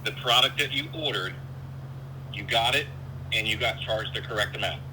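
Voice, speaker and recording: A man's voice: mid-range voice, moderate pace, sharp tone. A male police officer on a phone call, questioning someone firmly and methodically, point by point. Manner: methodical, firm, clarifying point by point. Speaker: police officer taking a report. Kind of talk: phone conversation, questioning a caller. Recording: noisy telephone call with hum.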